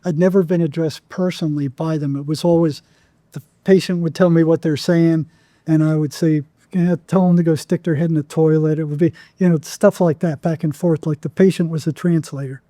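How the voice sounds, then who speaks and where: Man with a very dark, mellow voice. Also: a little high, fairly even intonation, fairly quick, no echo. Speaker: podcast guest, psychotherapist. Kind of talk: conversational interview storytelling.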